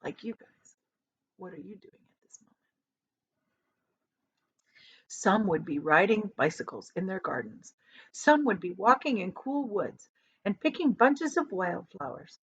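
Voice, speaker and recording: A woman reading a children's book aloud like a teacher, in an expressive storytelling style. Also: medium pitch, moderate pace, slightly rough. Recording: slightly dull, lo-fi sound.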